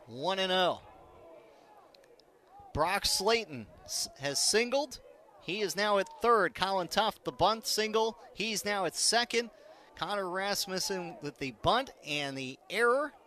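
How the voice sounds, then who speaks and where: A man's voice: high-pitched, pushed and bright, slight background noise. A man calling a live baseball game on the radio as play-by-play announcer, in clipped, descriptive phrases. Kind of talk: live radio sports commentary.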